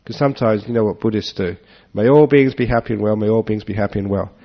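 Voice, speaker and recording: Gravelly low monk, joking. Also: male voice, quick pace. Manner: relaxed, joking, chuckles often. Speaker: monk giving a Dharma talk. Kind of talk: Dharma talk with audience questions. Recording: dull lo-fi talk recording.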